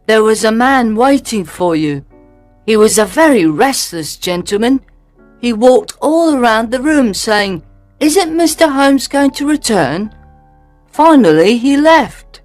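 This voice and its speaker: A man's voice: very high voice, big pitch swings, measured pace, no echo, faint music behind, pitched above the performer's own voice, full character voice. A man voicing a young page boy who breathlessly reports a visitor in an old-fashioned audiobook story. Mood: eager.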